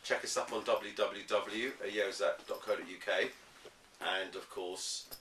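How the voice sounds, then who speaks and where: A man reading a bedtime story to children as host, in an expressive style that speaks directly to the young listeners. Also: fairly low pitch, measured pace, slight background noise. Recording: echoey room, distant from the microphone.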